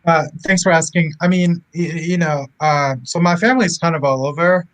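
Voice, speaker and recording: A man's voice: on the high side, normal speed, fairly bright tone, dry recording, poor audio. A young man from Cameroon, a medical student guest, chatting casually over a video call with many fillers. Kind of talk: casual interview talk.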